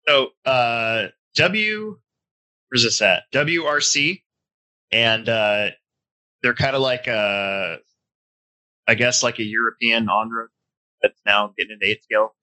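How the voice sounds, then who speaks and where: A man's voice: mid-range voice, slow pace, long pauses, bright, forceful tone, poor audio. A man in his forties hosting a casual hobby podcast from home, a bit stuffy-nosed, talking in a loose, rambling way. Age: 40s. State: stuffy nose. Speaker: podcast host. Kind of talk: casual chat.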